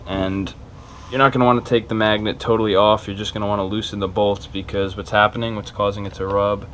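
A man's voice: lowish voice, level tone, quick pace, fairly bright tone, a little hiss or hum. A man talking casually and a little hesitantly through a hands-on repair tutorial, unscripted and informal. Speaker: video maker giving a repair tutorial. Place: small cluttered carpeted room indoors.

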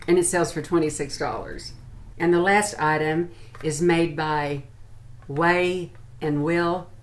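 A woman's voice: sits low, slight background noise. An American woman in her sixties chatting informally to camera as she reviews products. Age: 60s. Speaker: beauty and lifestyle vlogger. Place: small furnished room at home, indoors.

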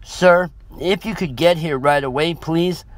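A man's voice: fairly high pitch, a little slow, voice pushed up, lightly acted. A man voicing a worried female nursery worker in a story, speaking politely and formally with urgency. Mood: pleading, reassuring.

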